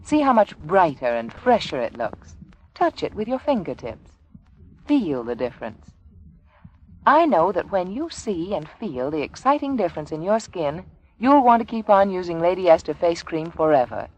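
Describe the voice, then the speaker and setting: A woman's voice: lowish voice, very animated, moderate pace, a little hiss or hum, poor audio. A woman reading a scripted 1940s radio advertisement in an emphatic, persuasive manner. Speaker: sponsor's advertising announcer.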